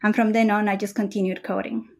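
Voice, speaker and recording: A woman from Peru, a software engineer, answering questions in a casual interview over a video call. She speaks in a measured, thoughtful way, with frequent "uh" pauses. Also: medium pitch, flat delivery.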